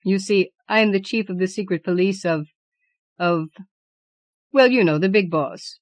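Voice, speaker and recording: A woman's voice: mid-range voice, normal speed, dry recording, natural voice. A woman voicing Death as a male skeleton in a storybook, garrulous, boastful and formal in his storytelling. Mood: knowing.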